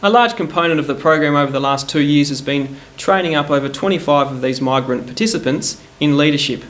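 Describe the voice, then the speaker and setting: A man's voice: a little high, fairly quick, fairly bright tone. Man explaining a community program. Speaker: parks program officer. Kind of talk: documentary interview narration.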